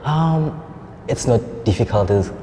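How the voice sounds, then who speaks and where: A man's voice: mid-range voice, lively intonation, a little slow, mellow. A young man with a South African accent giving casual, somewhat hesitant interview answers in an echoey, noisy room. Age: young adult. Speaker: interview guest, student and poet.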